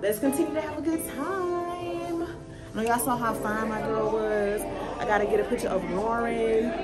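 Woman, 30s, chatty vlogger, phone held at arm's length, a little high-voiced, music clearly audible under her. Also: fairly even intonation, moderate pace, a loud crowd. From Atlanta, United States. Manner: chatty, bubbly, addresses viewers as y'all. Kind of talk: casual vlog chat to camera. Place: office turned party venue, indoors.

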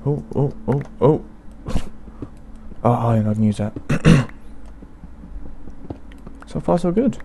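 A young man casually talking through a video game, mumbling to himself in an unhurried way, his throat bothering him. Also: mid-range voice, expressive, mellow, a little hiss or hum. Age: young adult. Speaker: gaming channel host. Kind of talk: casual let's play commentary.

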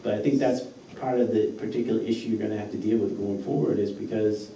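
A man's voice: on the low side, fairly even intonation, fast talker, dark tone. A man talking conversationally as a board member in a meeting, recorded from a distance in an echoey room. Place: mid-sized indoor meeting room. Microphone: far room microphone. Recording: echoey room, distant mic, faint noise.

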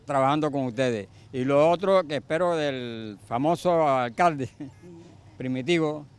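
A man's voice: medium pitch, a little slow, smooth. An older Venezuelan man being interviewed outdoors on a street, speaking plainly and chatty into a handheld mic. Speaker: interviewee, community volunteer.

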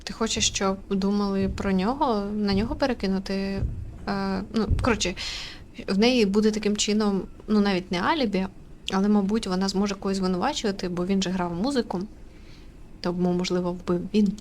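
A woman's voice: mid-range voice, fairly even intonation, darkish tone. Chatty woman streamer, faint background noise. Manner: chatty, halting, giggly. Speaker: gaming streamer. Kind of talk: casual let's-play commentary. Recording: faint room noise and ambience.

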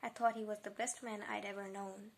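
A woman reading a novel aloud as a reflective, forgiving father, measured and wistful. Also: mid-range voice, little pitch movement, slightly rough, lightly acted. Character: reflective, forgiving father looking back on childhood.

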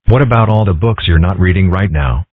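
A man voicing a calm, philosophical young boy fox in a measured, analytical manner for a cartoon comic dub. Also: low-pitched. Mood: dry teasing. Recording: thin, band-limited, phone-like sound.

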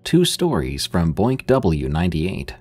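A man narrating true scary stories in a slow, deliberate, suspenseful scripted reading. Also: sits low, wildly swooping pitch, darkish tone, breathy edge. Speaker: horror story narrator.

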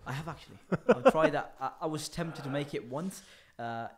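A man's voice: on the high side, expressive, moderate pace. A man in his twenties from Italy, joking and self-mocking in casual, sweary podcast banter as a comedian co-host. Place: small indoor garage-style podcast studio. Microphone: close microphone on boom arm.

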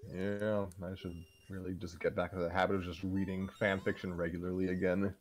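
A man co-hosting a show in casual, unscripted chat, talking in a halting, self-deprecating way. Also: deep voice, level tone. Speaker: co-host of a fan fiction reading show.

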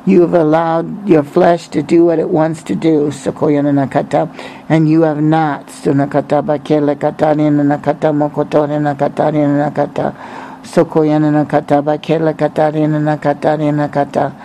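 A man's voice: fairly high pitch, monotone, brisk, vocal fry, warm tone. A man preaching a religious prophecy, breaking short phrases up with repetitive, incantatory chanting in tongues, over some background noise.

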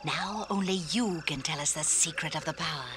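A woman's voice: sits low, brisk, close to an everyday voice. An actress playing an evil, gloating witch who taunts with false sweetness. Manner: cackling, taunting.

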